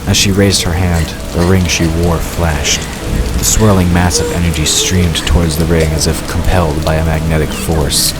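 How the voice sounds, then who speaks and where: A man narrating a scripted fantasy story as the narrator of an audio drama. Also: deep voice.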